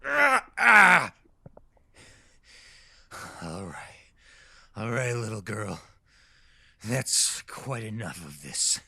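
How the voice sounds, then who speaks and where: An actor playing a menacing, patronizing abductor in an audio drama, scolding like a strict husband. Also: male voice, on the low side, sing-song, drawn-out words, gravelly, long pauses, pushed and bright, breathy edge, no room sound, full character voice. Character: menacing, condescending male abductor, a would-be husband.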